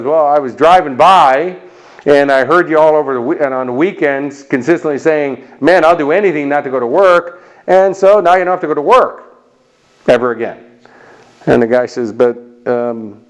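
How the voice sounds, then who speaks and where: A middle-aged man from Chicago with an American accent, speaking straight to the viewer as a motivational speaker. His voice sits at an ordinary male pitch, and he tells stories with energy, acting out short quoted voices and dropping small jokes. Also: poor audio. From Chicago, United States. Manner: animated storyteller, acts out little voices and jokes. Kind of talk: motivational talk to camera.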